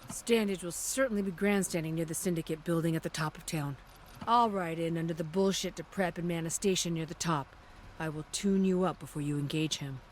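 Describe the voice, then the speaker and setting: An actress playing a shrewd technical specialist in a game, speaking with deliberate confidence. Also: female voice, lowish voice, a little hiss or hum, close to an everyday voice. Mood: assured. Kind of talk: video game dramatic dialogue.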